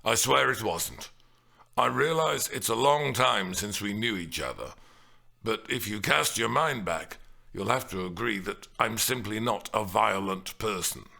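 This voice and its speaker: A man voicing an earnest, apologetic and mildly wry man in audiobook dialogue, measured and placating. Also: fairly low pitch, expressive, creaky, pushed and bright, full character voice. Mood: firm, wry.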